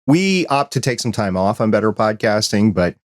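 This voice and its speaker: A middle-aged Midwestern American man hosting a podcast, his low voice captured dry and close. He tells stories in a friendly, animated way. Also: normal speed, no room sound. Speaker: podcast host. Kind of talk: casual conversation.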